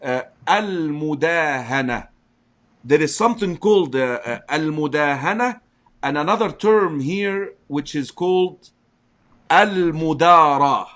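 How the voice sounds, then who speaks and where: A man from Egypt and an Arabic speaker, teaching as a sheikh in English. He explains slowly and recites Arabic verses. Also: on the high side, lively intonation, fairly bright tone. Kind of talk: religious teaching discussion.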